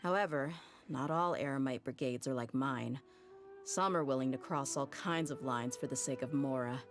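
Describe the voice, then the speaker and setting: A low-voiced blunt mercenary leader. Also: female voice, normal speed, faint music behind. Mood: guarded. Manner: frank, guarded, casual slang. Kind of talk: game cutscene dub.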